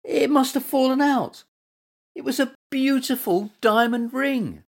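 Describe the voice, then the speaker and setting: A woman's voice: fairly low pitch, big pitch swings, slow, darkish tone, full character voice. A woman voicing a boastful, dramatic little male monkey in a children's storybook. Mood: dismayed.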